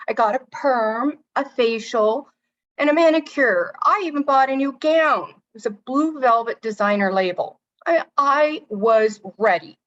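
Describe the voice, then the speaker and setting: A woman's voice: fairly high pitch, a bit bright, muffled recording. A woman performing a comic stage monologue as a vain, jealous stepsister, sarcastic and indignant, with exaggerated emphasis. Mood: boastful, defensive.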